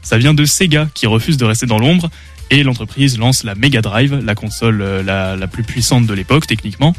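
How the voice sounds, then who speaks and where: A young man reads his gaming column on student radio, with hesitations and small restarts along the way. His voice sits at a middle pitch, with faint music in the background. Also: brisk. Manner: reads a script with frequent hesitations. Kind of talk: reading a prepared radio segment. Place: radio studio. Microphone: studio mic.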